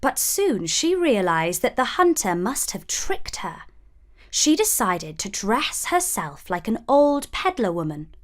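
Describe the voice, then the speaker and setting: A woman narrating a scripted children's fairy tale as a storyteller, expressive and deliberate. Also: medium pitch.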